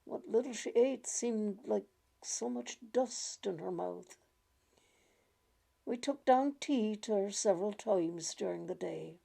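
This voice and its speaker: A woman gently reading a literary short story aloud in a measured, deliberate way. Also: mid-range voice, gravelly, husky. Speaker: reader of short stories.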